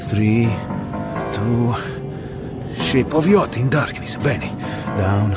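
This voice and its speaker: An actor playing a greedy, gloating Italian pilot turned hijacker in a radio drama, talking in clipped phrases as if to himself. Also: male voice, medium pitch, drawn-out words. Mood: cool, focused. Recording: loud music and aircraft engine behind.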